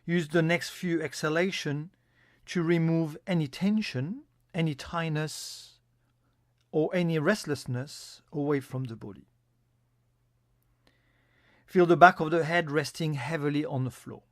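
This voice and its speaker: A man giving a guided yoga nidra meditation as an instructor, in a calm, soothing, instructional manner. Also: on the high side, a little slow, long pauses, no echo, clean studio sound.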